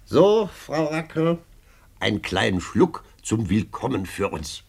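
Radio play role: jaunty know-it-all visitor, husky middle register, very lively inflection, chatty and sure of himself. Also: male voice, mid-range voice, slow, heavily acted. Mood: smug, pleased. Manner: jaunty, knowing, repetitive. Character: Grivet, chatty, self-assured, cheerful card-loving male guest.

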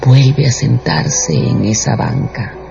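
A man's voice: medium pitch, animated, takes it slowly, vocal fry. A man narrating a radio drama as a scripted, dramatic storyteller. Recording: dull radio production with music bed.

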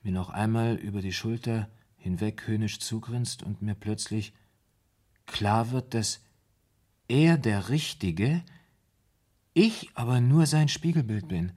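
An actor in a 1970s German radio play, playing a troubled, brooding man confessing his fear of madness in halting, dramatic storytelling. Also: on the low side, darkish tone. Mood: haunted, fearful.

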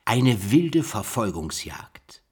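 A deep, husky male investigator. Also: sing-song, takes it slowly, exaggerated voice. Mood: absorbed. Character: male investigator puzzling over a cold case, curious. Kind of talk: radio drama dialogue.

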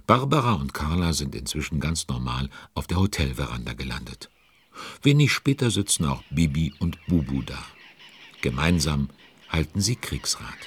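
An actor playing the playful narrator of a children's audio drama, expressive and conspiratorial, relishing the suspense. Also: male voice, a bass voice, moderate pace, slightly rough, no echo, heavily acted. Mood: informative.

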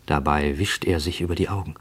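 A man narrating a radio drama in a deliberate, literary manner. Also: a bass voice, steady tone, breathy edge. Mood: quiet, observant.

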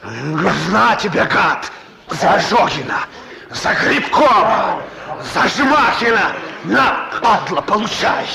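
An actor playing an enraged man taking violent revenge, shouting in fury in a Soviet-era radio drama. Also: high-pitched, big pitch swings, slow pace, creaky, full character voice. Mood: furious. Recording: echoey old recording with loud crowd noise.

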